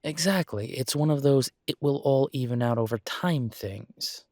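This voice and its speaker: A man's voice: medium pitch, normal speed, darkish tone, no echo, close to an everyday voice. Laid-back boyfriend played by a man, close dry sound. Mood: reassuring, matter-of-fact. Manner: casual, reassuring, chuckling, folksy turns of phrase. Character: easygoing, supportive human boyfriend of a werewolf. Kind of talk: intimate one-sided boyfriend roleplay audio.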